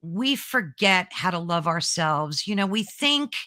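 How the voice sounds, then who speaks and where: A woman hosting an interview, effusive and quick to agree and praise. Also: lowish voice, lively intonation, pushed and bright, no echo, clean studio sound. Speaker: host. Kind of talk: interview chat.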